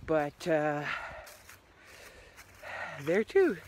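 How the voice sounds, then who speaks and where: A woman's voice: deep voice, big pitch swings, very slow, slight background noise. Chatty middle-aged woman vlogging a hike. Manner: rambling, chatty, many restarts, often drops to a quiet murmur. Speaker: hiking vlogger. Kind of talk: casual vlog chat while walking. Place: wooded trail outdoors. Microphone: phone held at arm's length.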